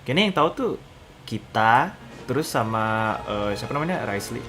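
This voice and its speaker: A man's voice: medium pitch, animated, brisk, pushed and bright. An Indonesian man streaming a game, reacting casually and thinking aloud in slang. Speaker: gaming streamer. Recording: game music under the voice.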